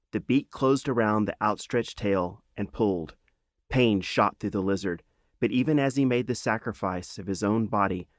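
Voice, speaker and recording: Low-voiced man narrating a fantasy audiobook. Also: no room sound, clean studio sound. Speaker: author reading own audiobook. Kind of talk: dramatic scripted narration of children's fantasy.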